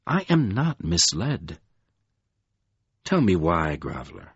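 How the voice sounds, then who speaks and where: A man voicing a scornful, mocking ancient dark lord in an audiobook, slow and husky. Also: huge pitch swings, no echo, highs cut off, exaggerated voice. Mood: menacing. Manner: slow, deep, sneering delivery. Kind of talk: audiobook character voice.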